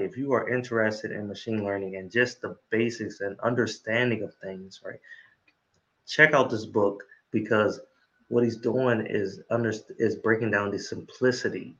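Man host, low-pitched voice, bright and forceful, enthusiastic, says "right?" a lot, dull lo-fi recording. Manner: enthusiastic, keeps adding "right?". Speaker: interview host. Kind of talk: casual interview chat.

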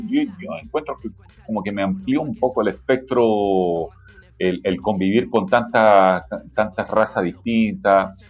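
A middle-aged man from Chile with a Panamanian Spanish accent mixed with Italian, a deep voice and a rambling, hesitant way of talking as an interview guest. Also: slightly rough, dull audio. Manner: rambling, many hesitations and fillers. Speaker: interview guest, hip hop musician. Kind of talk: casual conversation.

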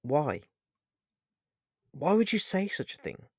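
A man playing a charming, intense lover in a romance audiobook, speaking formally and repeating his phrases haltingly. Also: medium pitch, expressive, dry recording, studio quality, lightly acted. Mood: angry, hurt. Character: handsome, charming, wounded, intense male lover. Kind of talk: dramatised romance audiobook dialogue.

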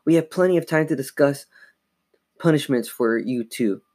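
A man's voice: medium pitch, normal speed, darkish tone, lightly acted. A man voicing an angry, weary mother in a storybook, stern and exasperated as she scolds. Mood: stern scolding.

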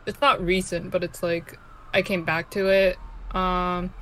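Soft-spoken young woman co-host. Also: lowish voice, level tone, a little slow, slight background noise, low-quality recording. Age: 20s. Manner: soft-spoken, hesitant, lots of fillers. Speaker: podcast co-host. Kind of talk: casual chat.

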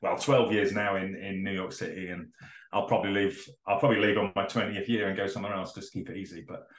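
Middle-aged Norfolk man, very low voice, rambling guest, echoey room. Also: little pitch movement, quick pace. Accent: Norfolk English. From England (Norfolk). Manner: rambling, self-correcting, many fillers. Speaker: podcast guest, designer. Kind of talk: casual podcast chat.

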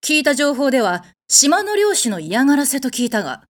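A woman's voice: sits high, big pitch swings, a little fast. A woman playing a haughty, blunt warrior goddess in a comedic audio drama, speaking in an imperious, curt way with a protective streak. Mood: deadpan, blunt. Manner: imperious, commanding, curt masculine phrasing.

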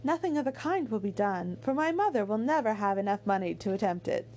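A woman voicing a sensible young gentlewoman from a period novel, measured, formal and dryly polite. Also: medium pitch, expressive. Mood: dry, composed.

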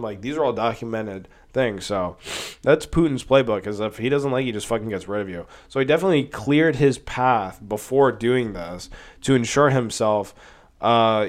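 A man hosts his own podcast while sick with a cold, sniffling and coughing now and then. He rambles and swears, with lots of fillers. His voice sits at an ordinary middle pitch. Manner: rambling, profane, with many fillers. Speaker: podcast host. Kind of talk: casual solo podcast commentary.

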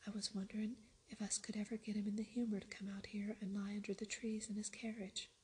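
A woman whispering the lines of a kind, calm Yorkshire farm boy in a storybook reading, keeping a soft Yorkshire dialect. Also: medium pitch, fast talker, husky. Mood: gentle. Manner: whispered, gentle.

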